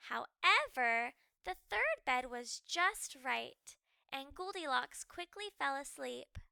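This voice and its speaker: A woman reads a children's story with animated, dramatic delivery. Her voice is high and very bright, and she takes her time over each phrase. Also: slow, creaky, dry recording, studio quality. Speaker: children's read-aloud storyteller. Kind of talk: expressive scripted storybook narration.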